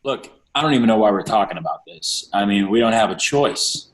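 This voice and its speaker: A man's voice: fairly low pitch, animated, brisk, roomy sound, close to an everyday voice, rough recording. An actor reading a combative, sarcastic young male gang leader in a film script, in a challenging manner over a video call. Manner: sardonic, challenging. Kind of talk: film script table read.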